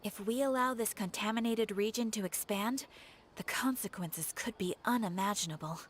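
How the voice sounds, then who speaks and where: A woman voicing an earnest quest-giver in a video game, formal and measured in her delivery. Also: medium pitch, slight background noise, close to an everyday voice. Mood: grave, earnest. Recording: game music behind.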